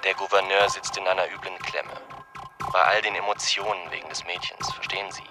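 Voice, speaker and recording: An actor in a German radio drama playing an anxious, apologetic male defence lawyer who hedges and placates haltingly. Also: low-pitched, fairly even intonation, lightly acted. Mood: grave, careful. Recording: music behind the voice.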